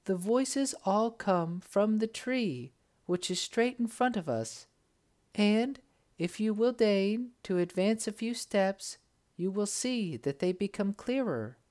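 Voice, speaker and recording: A gracious young princess, formal and courteous in every phrase, as a storyteller puts her on. Her voice sits higher than the narration and moves with lively rises and falls. She speaks slowly and with care.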